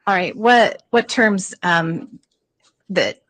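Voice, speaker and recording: A woman prosecutor questioning a witness in a courtroom, soft-spoken and polite. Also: mid-range voice, takes it slowly.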